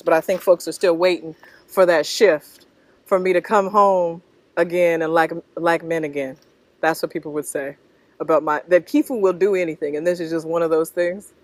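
Middle-aged American woman, lowish voice, thoughtful and halting interviewee, dry close sound. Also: no echo. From North Carolina, US. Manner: thoughtful, halting, often checks in with "right?". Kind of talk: reflective personal interview answers. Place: outdoors in a leafy park.

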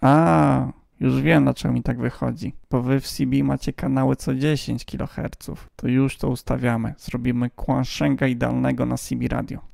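A man's voice: medium pitch, level tone, normal speed, vocal fry, few pauses, a little mellow, dry recording. A man hosting a casual, explanatory hobby radio vlog, talking outdoors close to a handheld phone. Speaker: amateur radio hobbyist host.

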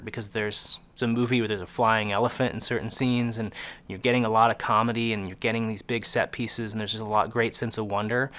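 A man's voice: fairly low pitch, fairly bright tone. Earnest man explaining in an interview. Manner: earnest, explanatory, with frequent fillers. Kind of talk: press junket interview. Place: film set interview, indoors.

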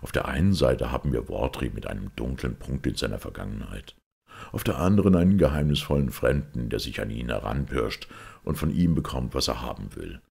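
A man voicing a calm, shrewd priest detective in an audiobook, slow and deliberate, with a gravelly, husky voice. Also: rumbling low, voice pushed down, full character voice. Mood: calm, knowing.

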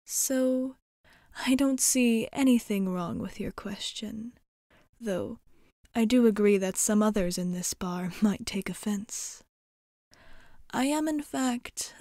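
A poised female vampire bartender, played by a woman, talks in an ASMR roleplay. She takes her time over formal, amused phrases that slip toward a breathy whisper. The mid-pitched voice sits very dry and intimate. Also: dark tone, dry recording. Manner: measured, formal, teasing, breaking into whispery breathiness.

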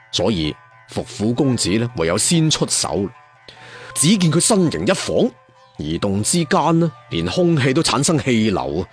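A man telling a story theatrically, like a traditional serial storyteller with asides to listeners. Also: mid-range voice. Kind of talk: dramatic Cantonese audiobook storytelling.

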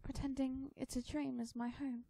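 A woman's voice: sits high, level tone, normal speed, creaky, mellow, dry recording, voice pushed up. A woman voicing an imaginative seven-year-old girl in a storybook, childlike, dreamy and emphatic.

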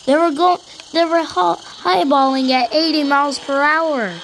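Girl or woman with a high voice telling a made up train story, keeps stumbling and restarting words. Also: steady tone, noisy background. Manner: halting, stammering, repeats and restarts words. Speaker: storyteller and host of a hobby cartoon series. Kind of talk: improvised storytelling for a train cartoon.

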